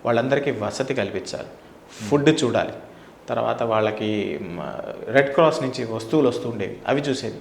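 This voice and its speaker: A man's voice: medium pitch, fairly quick, vocal fry, slight background noise. A middle-aged man from India, speaking emphatically as an interview guest, with rhetorical questions and repeated phrases. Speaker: interview guest, former air force officer. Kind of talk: opinionated interview talk. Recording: roomy, echoing indoor sound.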